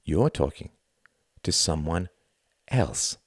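A man's voice: low voice, expressive, slow, breathy edge, no room sound, clean studio sound, heavily acted. A man voicing a scornful, wounded young wife, needling and accusing in acted audiobook dialogue.

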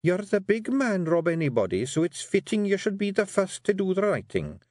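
A man reading an audiobook, voicing a sharp, clever female pixie clan matriarch with a coaxing, brisk manner. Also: high-pitched, big pitch swings, dry recording, clean studio sound, pitched above the performer's own voice. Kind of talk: audiobook character voice.

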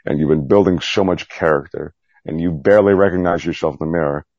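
A man with a low, husky voice chatting candidly in an interview, rambling, self-deprecating and mildly profane. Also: fast talker, darkish tone, no echo, muffled recording. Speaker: podcast guest, Navy veteran. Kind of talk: candid casual interview chat.

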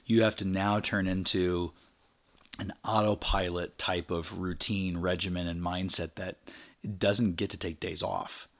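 Low-voiced energetic male podcast host. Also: a little slow. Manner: energetic, rambling with fillers. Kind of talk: casual chat.

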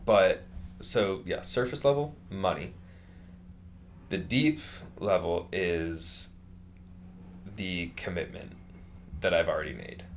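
A young man in his twenties talks in a loose, rambling way, full of fillers and restarts. His voice sits very low. Faint background noise runs under the chat. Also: slow pace, pauses often. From California. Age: 20s. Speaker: interviewee. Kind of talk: casual conversation.

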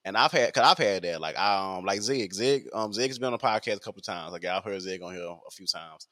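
A man's voice: deep voice, fairly quick, fairly bright tone. A man, talking in a rambling casual podcast monologue full of fillers and repeats. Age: 20s.

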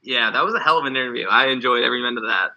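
A man's voice: medium pitch, rapid-fire, bright, forceful tone, lo-fi sound. A man hosting a podcast with casual banter and showman-like introductions. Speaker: podcast host.

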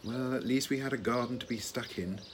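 A man's voice: mid-range voice, fairly quick, natural voice. A man playing an exasperated, long-suffering husband in an audio play, weary and reproachful. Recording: clear noise with birdsong.

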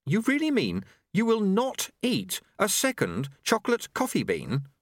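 A man voicing a brisk, irritable woman with a commanding, sarcastic classroom manner in a story. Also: high voice, huge pitch swings, no echo, studio quality, voice pushed up, full character voice. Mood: incredulous. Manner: snappish, commanding.

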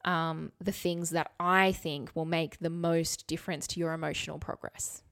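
A woman's voice: low voice, steady tone, normal speed, vocal fry, fairly bright tone, no echo, clean studio sound. A woman co-hosting a podcast in casual chat, reading bits aloud with wry, candid asides. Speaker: podcast co-host.

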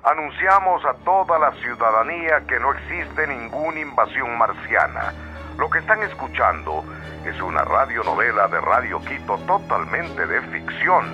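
An actor playing a 1940s radio announcer, formal and insistent, urgently calming the public. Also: male voice, medium pitch, moderate pace, exaggerated voice. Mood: urgent, pleading. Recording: dull lo-fi radio sound, faint music.

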